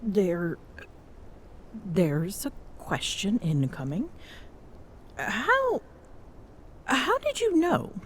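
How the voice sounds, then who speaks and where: A woman's voice: lowish voice, darkish tone, breathy edge, heavily acted. A woman playing a tall Amazonian queen in an intimate ASMR roleplay, smitten and teasing, bossy yet tender, often slipping toward a whisper. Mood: flustered. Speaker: voice actor in audio roleplay.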